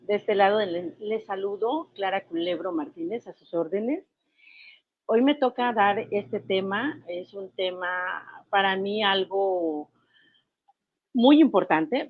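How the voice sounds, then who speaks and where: A woman's voice: medium pitch, no echo, phone-quality sound. A woman speaking as a guest lecturer, presenting in an informal lecture style.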